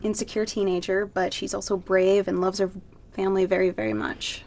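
A woman's voice: medium pitch, level tone, brisk, darkish tone. A woman stage actor talking as a guest in a casual interview, explaining things informally.

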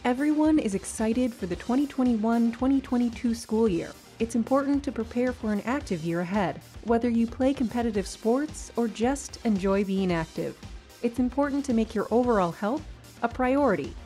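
A woman reading a scripted public service announcement in an upbeat, even manner. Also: a little high, normal speed. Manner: upbeat, evenly read. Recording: announcement over soft music.

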